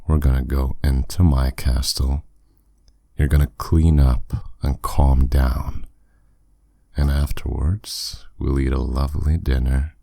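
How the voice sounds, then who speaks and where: Man acting a very deep, unhurried demon lord. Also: creaky, mellow, no room sound, clean studio sound, full character voice. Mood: commanding, soothing. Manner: commanding, condescending, unhurried, teasing. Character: dominant, suave male demon lord, smugly teasing. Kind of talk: roleplay audio drama, addressed intimately to listener.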